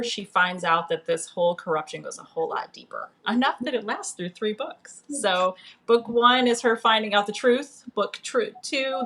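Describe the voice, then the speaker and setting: A woman's voice: mid-range voice, fairly bright tone. A woman speaking as a guest author in a casual livestream, playful and informal in manner. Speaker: author guest on a book live stream.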